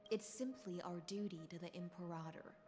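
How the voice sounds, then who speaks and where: A philosophical warrior noblewoman of the sea, played by a woman in a video game. She speaks formally and with measured, lofty poise. Music plays clearly behind her. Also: on the low side, lightly acted. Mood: cool, measured. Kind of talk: scripted video game voice acting.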